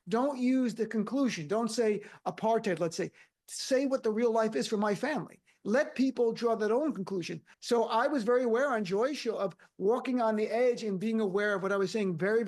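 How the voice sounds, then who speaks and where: A man's voice: sits high, darkish tone. A man speaking bluntly and conversationally as a guest on a political panel. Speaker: guest commentator.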